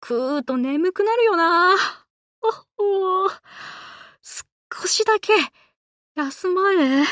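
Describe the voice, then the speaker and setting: Tomboyish young anime game girl, high bright voice, cocky, slangy, swinging intonation. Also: lively intonation, very slow, long pauses, dry recording, clean studio sound, heavily acted. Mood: drowsy. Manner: rough boyish slang, cocky and casual. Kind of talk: Japanese anime game voice lines.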